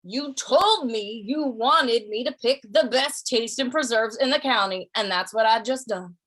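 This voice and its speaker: Western drifter, high voice, bright tone, folksy, sly, good-natured, hesitant repetitions, old-time radio style. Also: female voice, unhurried, poor audio. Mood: mock-innocent. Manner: folksy drawl, hesitant, repeats phrases.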